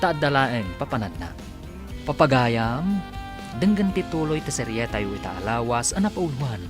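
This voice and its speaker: A man's voice: medium pitch, sing-song, normal speed. A man with an Ilocano accent narrating a radio drama in a dramatic announcer's delivery. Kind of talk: scripted narration. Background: music.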